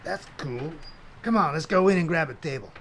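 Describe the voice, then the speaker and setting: A man's voice: fairly high pitch, animated, moderate pace, noisy background. A man playing Death as a brash, glib, freeloading cousin who rambles in bro talk in an audio comedy drama. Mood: breezy, cocky.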